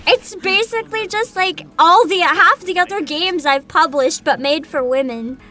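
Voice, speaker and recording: A woman hosting a livestream, chatting casually and reacting in an animated, exclamatory way with frequent swearing. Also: very high voice, normal speed, soft background music. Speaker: livestream host, VTuber.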